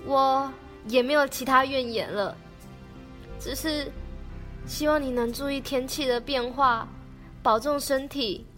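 A woman playing a lovelorn young ghost princess in an amateur radio drama, halting and plaintive, with a Taiwanese Mandarin accent. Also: high voice, fairly even intonation, a bit bright. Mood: tender, subdued. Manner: halting, plaintive, repeats words. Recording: background music under the voice.

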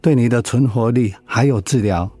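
An actor playing a calm, matter-of-fact cancer doctor in a radio drama, measured and clinical but reassuring. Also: male voice, medium pitch, breathy edge, no echo, lightly acted.

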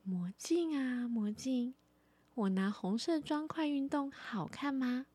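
Vain evil queen played by a female storyteller, high voice, slow, self-admiring, for a children's storybook. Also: expressive, warm tone, husky, no room sound, exaggerated voice. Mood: coy, vain. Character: vain wicked queen consulting her magic mirror.

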